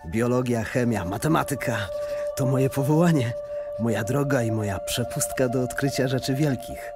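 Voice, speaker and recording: A man's voice: medium pitch, moderate pace, natural voice. An actor playing an obsessed young male science student in a radio drama. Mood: proud, eager. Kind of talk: radio drama acting, reading letters. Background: music.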